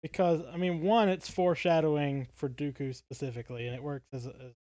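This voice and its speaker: A man's voice: fairly high pitch, fairly even intonation, brisk, mellow, dry recording. A man co-hosting a podcast, talking casually in an earnest and thoughtful manner. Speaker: podcast co-host.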